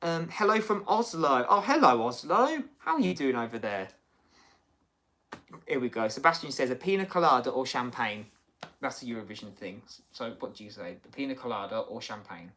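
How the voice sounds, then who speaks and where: A man's voice: mid-range voice, animated, moderate pace, fairly bright tone. Guy hosting a live stream chat, rambly, lots of ums, reads out comments. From United Kingdom. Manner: chatty, rambling, many fillers and restarts. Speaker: livestream host. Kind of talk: casual chat, reading viewer comments.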